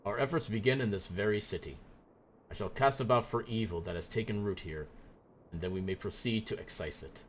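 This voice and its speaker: A man's voice: on the low side, a little fast, muffled recording, a little hiss or hum, natural voice. A man voicing a solemn samurai master from a video game, speaking in grave, archaic and formal phrases. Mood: solemn, measured. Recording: game music behind the voice.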